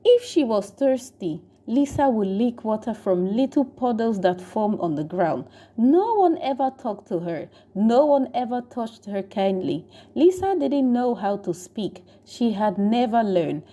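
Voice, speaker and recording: A woman's voice: fairly high pitch, expressive, measured pace, warm tone. A woman narrating a scripted story in a dramatic storytelling style. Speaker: storyteller, channel host.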